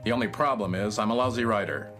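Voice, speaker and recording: A man's voice: on the low side, fast, fairly bright tone, faint music behind, close to an everyday voice. A man playing a self-doubting private eye and would-be novelist in a children's radio drama, with a melodramatic mock-noir delivery. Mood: frustrated. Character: male hard-boiled private-eye spoof, self-doubting aspiring novelist.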